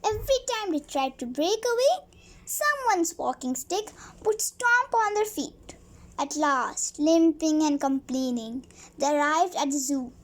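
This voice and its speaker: High-pitched child slowly reading a storybook aloud. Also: lively intonation. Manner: stumbling over words, repeating phrases.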